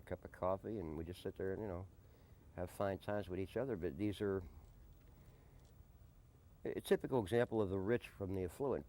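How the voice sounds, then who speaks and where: A man being interviewed in a conversational documentary, speaking deliberately and often repeating a question back before answering. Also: low-pitched, dark tone. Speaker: interviewee, desert camp resident and odd-job handyman. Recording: noisy early-90s amateur documentary tape.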